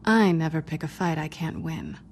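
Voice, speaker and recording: A woman's voice: low voice, wildly swooping pitch, moderate pace, darkish tone, slight background noise. A woman playing a guarded, teasing, world-weary female thief with a wry, sardonic manner in a video game. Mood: firm, guarded. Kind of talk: video game cutscene dialogue. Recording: music behind the voice.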